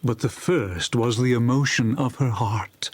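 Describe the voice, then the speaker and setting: A man narrating a dramatized story in a solemn, expressive manner. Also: mid-range voice, measured pace, breathy edge.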